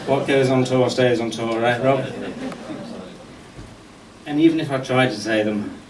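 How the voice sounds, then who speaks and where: A man's voice: mid-range voice, unhurried, a crowd in the background. Echoey, distant man giving a wry tribute. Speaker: speaker giving a memorial tribute. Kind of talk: eulogy speech with humorous anecdotes. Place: indoor function venue at a golf club, before an audience. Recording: echoey room, distant mic, faint noise.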